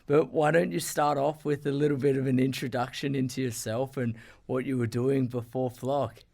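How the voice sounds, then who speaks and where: A man's voice: mid-range voice, flat delivery, moderate pace, gravelly, darkish tone. A man hosting a podcast interview, effusive and full of fillers and restarts. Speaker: podcast host. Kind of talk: casual interview chat.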